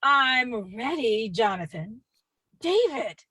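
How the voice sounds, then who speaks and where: A performer putting on a showy, high-maintenance beauty-queen woman, gushing over her home. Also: sing-song, slow, sharp tone, husky, exaggerated voice.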